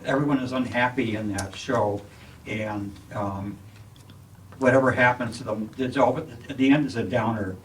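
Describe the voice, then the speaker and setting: A man's voice: medium pitch, moderate pace, far from the mic. A man making a comment in an open discussion. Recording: off-mic, noisy room ambience.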